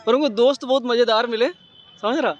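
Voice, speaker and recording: Young male student, very high voice, playful and chatty, outdoors. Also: steady tone, a little fast, dull audio. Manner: jokey, casual, keeps asking "you get it?".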